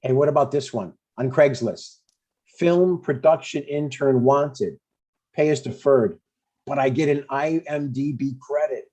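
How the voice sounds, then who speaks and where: A man's voice: medium pitch, a little slow, dark tone, close to an everyday voice. A man in his sixties playing an earnest, eager but stubborn dad in a conversational comedy table read. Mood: hopeful.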